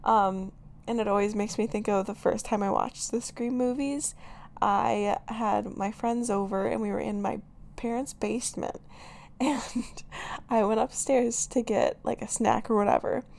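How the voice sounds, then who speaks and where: A young woman hosting her own podcast, chatting casually and giggling, her voice raspy today with lots of filler words. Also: on the high side, steady tone, measured pace, a little mellow. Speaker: podcast host. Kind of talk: casual solo podcast chat.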